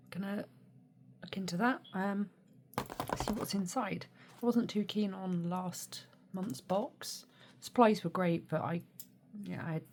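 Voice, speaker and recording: A woman with a hoarse, snuffly cold, chatting casually and quietly as she unboxes art supplies, with many hesitations. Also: on the low side. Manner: soft-spoken, often drops to a near whisper; mildly critical, hesitant with many ums. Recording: steady background noise.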